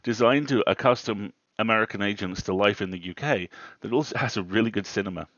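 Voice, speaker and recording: A man with a lowish voice tells casual stories as a livestream co-host, wry and wordy. He is close on a headset mic, with a dry, somewhat muffled sound. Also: fast, fairly bright tone, no room sound. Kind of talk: casual storytelling banter. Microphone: close headset microphone. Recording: muffled headset audio, dull.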